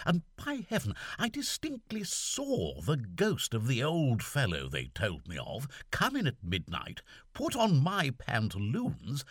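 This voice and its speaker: A man voicing an excitable young army lieutenant who keeps exclaiming in exasperation, in an audiobook. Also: medium pitch, breathy edge, no room sound, studio quality, pitched above the performer's own voice, exaggerated voice. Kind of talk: audiobook character voice.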